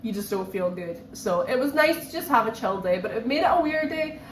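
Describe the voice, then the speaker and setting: Higher-pitched young woman, echoey room. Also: expressive, a little fast. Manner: chatty, repeats phrases for emphasis. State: run down with a cold. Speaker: book vlogger. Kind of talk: casual chat to camera, reading vlog. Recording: echoey room with faint background noise.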